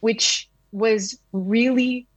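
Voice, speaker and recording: A woman's voice: fairly high pitch, fairly even intonation, extremely slow, no echo. A woman in her thirties from the United States, a news reporter speaking candidly and conversationally. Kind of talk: interview recollection.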